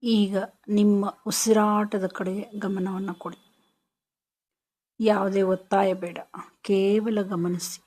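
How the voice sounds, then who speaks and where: Female meditation guide, very unhurried, soothing, mid-pitched, short phrases with pauses. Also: flat delivery, mellow.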